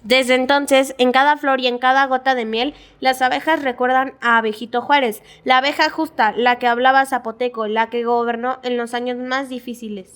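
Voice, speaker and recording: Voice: high voice, fairly even intonation, normal speed, few pauses, a bit bright, clean tone. A schoolchild with a Mexican accent giving scripted narration for a school audio drama. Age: child.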